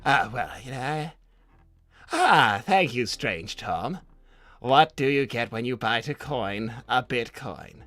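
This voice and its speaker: A man's voice: medium pitch, animated, slow, a bit bright, dry recording, faint music behind, voice pushed down. A man voicing a meek, servile nephew of a cartoon villain, stammering and hesitant as he talks. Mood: flustered. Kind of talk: improvised character livestream Q&A.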